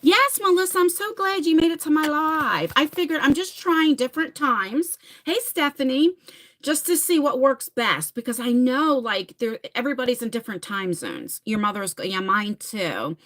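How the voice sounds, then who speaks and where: A woman's voice: high-pitched, expressive, normal speed, a bit bright. A woman in her forties from New Jersey, chatting casually on a live stream, reading viewer comments and talking to them by name. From New Jersey, USA. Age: 40s. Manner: chatty, laughs often, talks straight to viewers by name. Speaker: livestream host.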